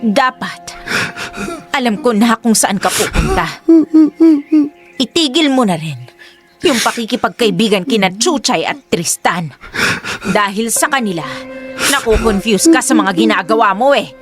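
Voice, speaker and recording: A woman's voice: on the high side, expressive, unhurried, pauses often. An actress playing a domineering, judgmental middle-aged mother in a Tagalog radio drama, scolding and melodramatic. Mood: pleading. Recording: faint background music.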